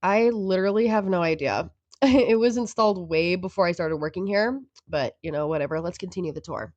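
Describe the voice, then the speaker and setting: A woman acts out a laid-back young receptionist for an audio drama. Her pitch sits on the low side, and she talks casually and noncommittally, as if little matters to her. The sound is dry. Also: fairly quick, a little mellow, no echo, dull audio, natural voice. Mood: indifferent.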